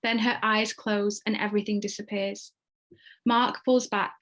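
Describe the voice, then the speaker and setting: Mid-pitched woman narrating a screenplay. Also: flat delivery, measured pace, a bit bright. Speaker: narrator reading stage directions. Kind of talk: scripted narration.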